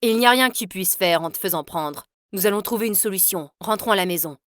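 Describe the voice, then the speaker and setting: A woman's voice: mid-range voice, fast, fairly bright tone, dry recording, clean studio sound, natural voice. A woman voicing a bossy, protective rich boy in a storybook tale. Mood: commanding.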